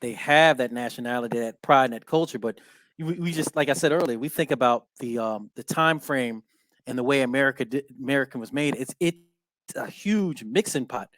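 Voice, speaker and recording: A man hosting a casual podcast chat, filling his talk with "you know what I'm saying" and giving steady "mm-hmm" as his guest talks. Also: mid-range voice, a little fast, no echo. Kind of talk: casual conversation.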